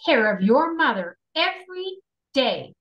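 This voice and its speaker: A woman's voice: high-pitched, sing-song, measured pace, muffled recording. A woman playing an exasperated, bickering but proper Italian-American mother in a script table read.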